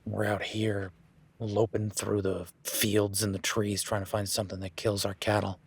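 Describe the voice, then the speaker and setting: A man's voice: lowish voice, flat delivery, moderate pace, slightly rough. A man voicing an easygoing male cowboy ranch hand in an audio roleplay, talking in a laid-back, folksy way with casual swearing.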